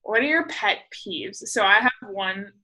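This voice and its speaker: A woman hosting a casual group video chat, chatty and self-deprecating, with quick asides, on a video call mic. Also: medium pitch, animated, bright, forceful tone, poor audio. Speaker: host.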